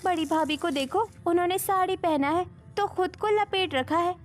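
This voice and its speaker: A woman playing a sensible, chiding young sister-in-law in a Hindi animated story. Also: extremely high pitch, normal speed.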